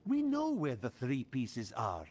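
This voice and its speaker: A man's voice: medium pitch, wildly swooping pitch, unhurried, darkish tone. A man voicing an irritable scholarly relic expert in a video game, curt, formal and grimly cautionary. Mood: grave warning.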